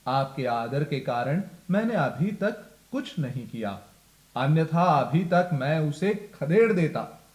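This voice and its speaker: Man as a stern, slow-speaking eagle god. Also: fairly high pitch, expressive, darkish tone, echoey room. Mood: indignant. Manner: stern, indignant. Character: proud, offended eagle god, lord of birds.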